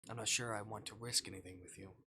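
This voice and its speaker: A man voicing a calm, cynical doctor who is an obsessive kidnapper in a visual novel, speaking in a measured, condescending way. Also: on the low side, slight background noise. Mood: calm, possessive. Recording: faint music behind.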